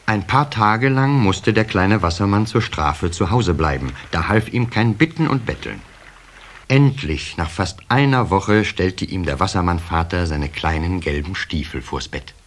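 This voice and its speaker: Low-voiced, animated male storyteller. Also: measured pace. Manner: expressive storytelling. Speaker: narrator. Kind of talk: scripted children's story narration. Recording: cassette recording with faint hiss.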